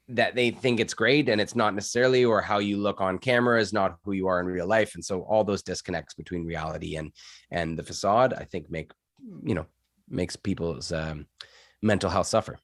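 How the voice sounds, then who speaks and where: Deep-voiced Canadian man, casual storyteller. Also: a bit bright, no echo, studio quality. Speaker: interview guest, entrepreneur and former actor.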